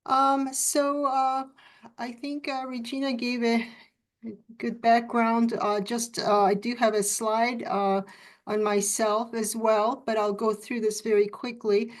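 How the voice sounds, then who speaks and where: A woman's voice: fairly high pitch, level tone, slow pace. Professor woman lecturing on video call. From Turkey, raised in Italy. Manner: frequent ums and uhs, unscripted. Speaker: food science professor.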